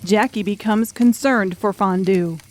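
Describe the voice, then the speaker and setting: A woman's voice: mid-range voice, measured pace, darkish tone. A woman narrating a dramatic audiobook story from a script.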